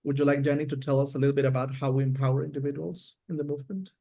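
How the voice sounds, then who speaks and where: A man's voice: medium pitch, fairly even intonation, very fast, creaky, mellow. A man from Peru with a Peruvian Spanish accent, co-hosting an online workshop and inviting his partner to speak. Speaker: workshop co-host.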